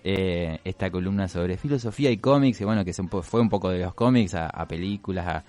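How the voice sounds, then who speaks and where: Deep-voiced Rioplatense man, informal radio host. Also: fast talker, a little mellow. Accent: Rioplatense Spanish. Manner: agreeable, informal, frequent affirming interjections. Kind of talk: casual on-air chat.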